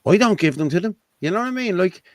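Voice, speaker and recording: Man host, a bit higher voice, dry close sound, sarcastic and blunt. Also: lively intonation, fast, no room sound. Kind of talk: casual political chat.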